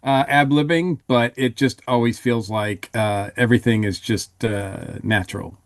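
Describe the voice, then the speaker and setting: A man's voice: mid-range voice, steady tone, measured pace, no room sound, studio quality. A man chatting casually as a panelist on a review podcast.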